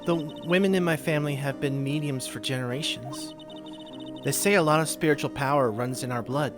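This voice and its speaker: Game dub: soft-spoken orphaned girl medium in early adulthood played by a man, raised pitch, earnest, sad, over prominent music. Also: brisk, close to an everyday voice. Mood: forlorn. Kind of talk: amateur video game voice-over.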